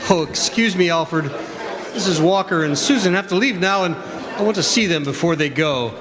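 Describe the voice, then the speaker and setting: A man playing a kindly old Santa figure in a stage radio drama, speaking gently. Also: sits high, moderate pace, crowd noise, lightly acted. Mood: jolly, excited. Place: college campus stage performance in a hall. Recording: echoey hall with audience noise.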